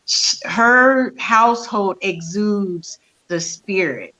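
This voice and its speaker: A woman cast member speaking as a guest on a talk show, halting and hesitant with many fillers. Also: medium pitch, pushed and bright, low-quality recording. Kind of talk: casual interview answer.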